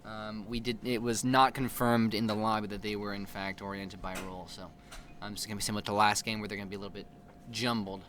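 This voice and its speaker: A man's voice: on the low side, little pitch movement, fast talker, a bit bright. A young man casting a video game tournament in a playful, joking way, hyping up the chat. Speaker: esports caster. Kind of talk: casual play-by-play commentary. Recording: faint background noise.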